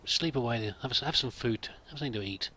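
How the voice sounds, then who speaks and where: A man hosts a roleplay let's play in a casual, polite and rambling way, often hesitating. His voice is bright and mid-pitched, with faint noise and game ambience behind it. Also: fast.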